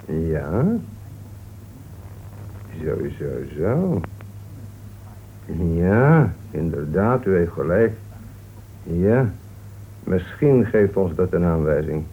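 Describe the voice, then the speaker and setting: A narrow, hissy old radio recording carries a police inspector with a lowish voice. He is courteous and methodical, musing calmly as he works through a radio mystery. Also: extremely slow, pauses often, full character voice. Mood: attentive. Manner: polite, musing, punctuated with small interjections. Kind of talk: Dutch radio play acting.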